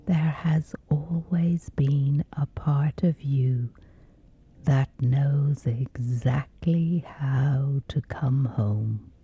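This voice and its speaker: A woman softly guiding a scripted sleep meditation in a breathy, gentle, soothing hush with long pauses. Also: extremely low pitch, dull audio. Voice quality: breathy, whispery soft.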